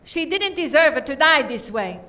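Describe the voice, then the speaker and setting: A woman acting a volatile, indignant murder suspect in an amateur radio play, dramatic and forceful in manner. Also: sits high, very animated, a little slow, full character voice. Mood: vengeful, fierce. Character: volatile, indignant French woman suspect, loyal grieving friend.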